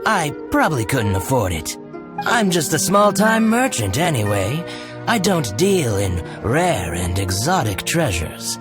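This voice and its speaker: A coy merchant, swooping theatrically. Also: male voice, medium pitch, sing-song, unhurried. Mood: coy, wheedling. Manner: coy, smooth-talking, ingratiating. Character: chatty small-time merchant, sly, ingratiating, theatrical. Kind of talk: video game character dialogue. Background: music.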